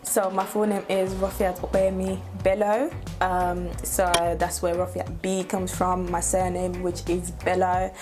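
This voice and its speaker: Girl in her 20s chatting to camera, kinda low voice, laughs a lot, soft music under it. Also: monotone, unhurried, hardly any pauses. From Nigeria. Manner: chatty, laughs often, playful asides. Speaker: YouTuber. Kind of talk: casual get-to-know-me vlog.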